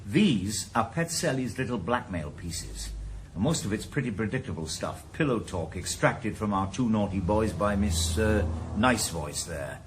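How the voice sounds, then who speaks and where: An actor playing a wry, sardonic retired detective turned private eye, in a 1980s radio drama. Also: male voice, on the low side, animated, a little slow, a little hiss or hum. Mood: theorizing. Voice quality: dry, sardonic. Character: retired ex-detective, wry middle-aged Welshman. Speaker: private investigator.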